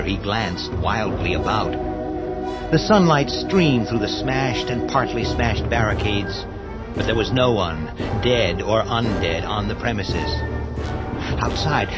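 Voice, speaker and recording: A man narrating a scripted horror story for a radio drama in a dramatic manner. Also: lowish voice. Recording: muffled lo-fi track under loud music.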